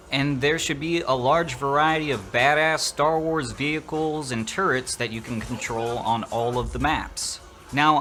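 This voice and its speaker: A man's voice: medium pitch, sharp tone. A man narrating an opinionated video game review in an informal, slangy, sarcastic way.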